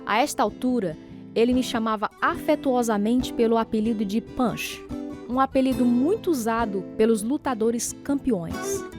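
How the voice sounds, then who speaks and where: An actress with a Brazilian accent playing a boxer's devoted, supportive wife and young mother in a radio drama, gentle and sincere as she speaks and narrates. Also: fairly high pitch, natural voice. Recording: guitar music under the voice.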